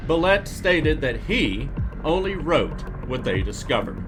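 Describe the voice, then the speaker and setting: A man reading a scripted documentary narration in a measured, factual storytelling manner. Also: on the high side, sing-song. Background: music.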